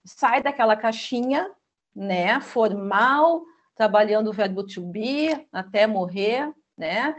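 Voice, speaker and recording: A woman's voice: a little high, expressive, slow pace, muffled recording. A woman, answering interview questions about her school in a chatty way, with frequent fillers. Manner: chatty, uses many fillers like né. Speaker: school representative.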